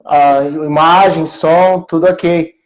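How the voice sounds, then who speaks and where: High-voiced Brazilian man hosting a livestream. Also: fairly even intonation, slow, rough recording. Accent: Brazilian, São Paulo interior. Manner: friendly, informal. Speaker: live show host. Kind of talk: casual live interview chat. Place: remote video call livestream.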